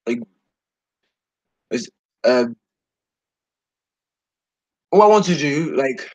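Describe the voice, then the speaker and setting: A tired sixteen-year-old boy rambling casually to his viewers, halting, repeating himself and trailing off mid-sentence. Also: medium pitch, animated, a little mellow, dry recording, highs cut off. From United Kingdom. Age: 16, teenager. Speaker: video creator talking to subscribers.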